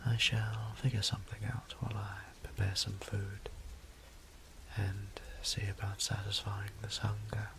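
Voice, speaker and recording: Male actor as weary vampire priest, low gravelly murmur, courteous, old-fashioned formal diction, restrained melody, audio drama. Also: monotone, unhurried, background noise. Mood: fond, musing. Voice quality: breathy, gravelly near-whisper. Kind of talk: scripted audio drama monologue.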